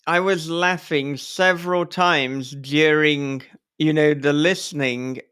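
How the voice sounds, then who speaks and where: Clear-voiced, wordy male podcast host. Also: on the high side, steady tone, slow pace, a bit bright. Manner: effusive, wordy, swears casually. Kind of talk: casual podcast conversation.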